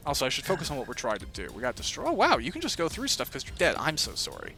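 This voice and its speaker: A man's voice: a little high, huge pitch swings, rapid-fire, pushed and bright, soft background music. A man chatting casually and easygoingly as an interviewer while playing a video game, rambling a little as he goes. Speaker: interviewer hosting a cast interview.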